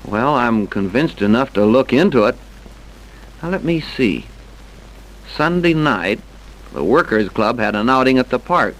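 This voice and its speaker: Sceptical police investigator, brisk and gruff, slangy questioning, vintage radio crime drama. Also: male voice, mid-range voice, lively intonation, fairly bright tone, a little hiss or hum, rough recording. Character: brisk, sceptical male police officer on a murder case. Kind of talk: old-time radio crime drama acting. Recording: vintage radio broadcast recording.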